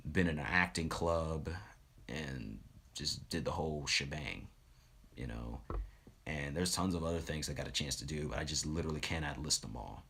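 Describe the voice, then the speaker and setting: A young man who does voice acting, telling a personal story in a relaxed, reflective vlog with lots of fillers. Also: a bass voice, slightly rough. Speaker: voice actor and streamer.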